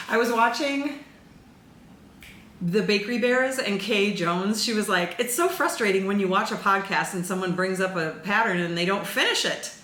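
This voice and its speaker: A woman hosting a knitting podcast, chatting casually to camera in an excitable, rambling way. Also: mid-range voice. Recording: echoey room with faint background noise.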